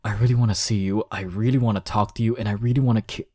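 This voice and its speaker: A man's voice: fairly low pitch, fairly even intonation, quick pace, a little mellow, no echo, clean studio sound, lightly acted. A man playing a cocky, teasing young man flustered by his crush, in an intimate romantic audio roleplay. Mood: flustered pleading. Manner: teasing, chuckling, sighing, stammering when flustered.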